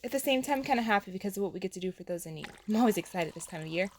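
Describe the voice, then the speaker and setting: A woman's voice: mid-range voice, expressive, very fast, slight background noise, lightly acted. A woman playing a polite, earnest teenage girl in an amateur audio drama, sweet and devout. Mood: upbeat, earnest.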